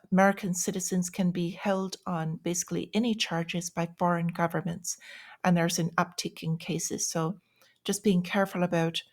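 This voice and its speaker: A woman giving informal news commentary in a plain-spoken, explanatory and cautionary way, as the host of a financial vlog. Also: fairly low pitch.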